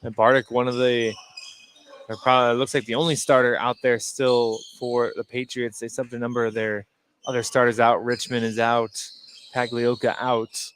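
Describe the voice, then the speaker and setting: A man's voice: mid-range voice, little pitch movement, normal speed, fairly bright tone. American male color commentator, faint background noise. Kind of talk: conversational live sports analysis. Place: indoor gym at a basketball game.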